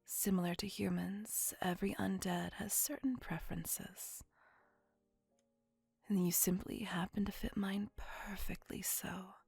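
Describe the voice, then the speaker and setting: Ancient female vampire played by a woman, ASMR roleplay. Breathy half-whisper, languid and old-fashioned, tenderly possessive, very close and dry mic. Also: mid-range voice, fairly even intonation, unhurried, darkish tone, no room sound, soft background music, full character voice. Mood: doting, reassuring. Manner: formal old-fashioned wording, languid, doting. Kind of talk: ASMR roleplay, intimate scripted monologue. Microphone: close microphone.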